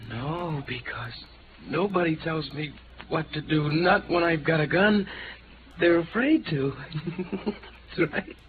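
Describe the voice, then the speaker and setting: A noisy, muffled old radio broadcast carries an unhinged young man. His high voice drags slowly, halting and menacing, in 1950s melodrama style. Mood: gloating, unhinged. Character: unstable, frightened young man menacing with a gun.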